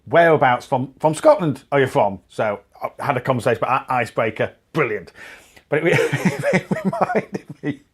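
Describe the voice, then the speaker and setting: A man's voice: mid-range voice, lively intonation, moderate pace, slightly rough. A man co-hosting a podcast, chatting casually and telling anecdotes with frequent laughter. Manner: chatty storyteller, laughs often. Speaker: podcast co-host. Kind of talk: casual banter.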